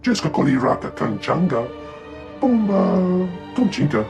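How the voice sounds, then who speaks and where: A man voicing a shifty alien gangster who babbles excitedly in an invented tongue, for a video game. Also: sits high, a little slow, faint music behind, exaggerated voice. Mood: agitated. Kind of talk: video game cutscene voice acting.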